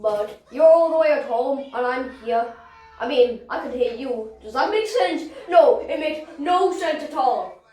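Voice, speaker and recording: A high young voice playing a chatty, stubborn little dragon boy in an improvised puppet show, childlike and argumentative. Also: lively intonation, measured pace, a little mellow. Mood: questioning. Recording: very echoey room, distant from the mic.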